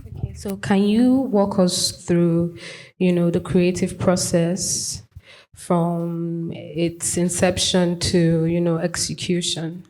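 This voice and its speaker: Female panel moderator; low-ish voice, slow, measured question delivery. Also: flat delivery, mellow. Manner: measured, uses "you know" as filler.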